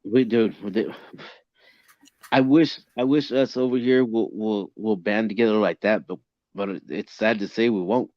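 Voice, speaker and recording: A man co-hosting a casual online chat, talking in halting bursts that repeat words. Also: lowish voice, steady tone, dry recording, dull audio. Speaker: co-host.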